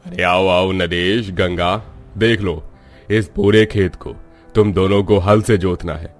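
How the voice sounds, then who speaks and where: Man voicing a deep-voiced village landlord. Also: fairly even intonation, takes it slowly, soft background music. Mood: commanding. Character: stingy landlord and envious farmer in village. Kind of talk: Hindi cartoon dialogue.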